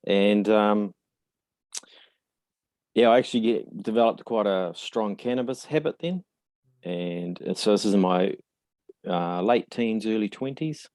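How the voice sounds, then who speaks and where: A man in his forties with a New Zealand accent talks as a podcast guest, his voice low and mellow. He speaks in a reflective, halting way, often pausing on fillers. The sound is dry and close. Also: no room sound. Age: 40s. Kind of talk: casual interview conversation.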